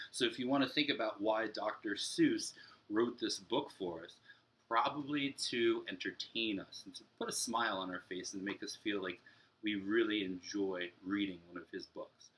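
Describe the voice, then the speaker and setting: A man's voice: lowish voice, pushed and bright, poor audio. A young adult man speaking as a teacher, explaining a lesson directly to young pupils. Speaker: elementary teacher. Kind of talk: read-aloud and story structure lesson for children. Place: room at home, indoors.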